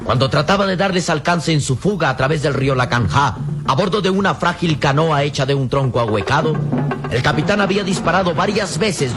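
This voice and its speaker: High-voiced male radio serial narrator, dramatic. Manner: solemn, theatrical, declamatory. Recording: radio serial with prominent background music.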